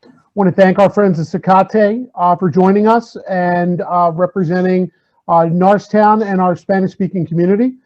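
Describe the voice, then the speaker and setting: A man's voice: high-pitched, steady tone, measured pace, a little mellow. A man, a school superintendent, speaking at an online community meeting over a video call, earnest and hesitant with many fillers, repeating key figures for emphasis. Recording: dull lo-fi call audio.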